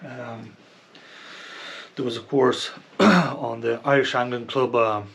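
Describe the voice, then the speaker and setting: A man's voice: medium pitch, slow pace, gravelly, a bit bright, breathy edge. A man giving a casual, unscripted product review in a hesitant, rambling way, often repeating himself. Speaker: product reviewer and kayak angler. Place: indoors at home near a computer.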